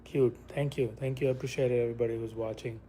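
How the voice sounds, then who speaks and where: A man from India hosts a relaxed livestream, rambling to viewers in an easy, middle-pitched voice. He talks loosely, with many restarts. A faint hiss of background noise sits under the voice. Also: quick pace, poor audio. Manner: rambling, shifts to soft whispers near sleeping baby. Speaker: livestream host. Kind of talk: casual livestream chat.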